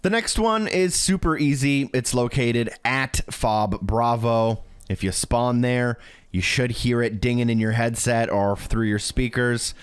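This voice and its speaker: A man's voice: mid-range voice, measured pace, clear, resonant tone. A man narrating a video game walkthrough in a casual, instructional way, talking directly to the viewer. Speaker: video game guide creator.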